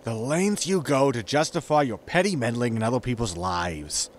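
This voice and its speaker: A man's voice: mid-range voice, animated, moderate pace, a little hiss or hum, natural voice. A man playing an exasperated, sarcastic spaceship captain in a sci-fi sitcom audio drama, with a weary, dry, scolding manner.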